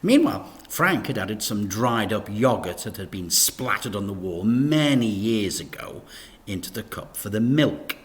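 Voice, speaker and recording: A man reading a children's story aloud in an expressive, teacherly narration. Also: on the low side, measured pace.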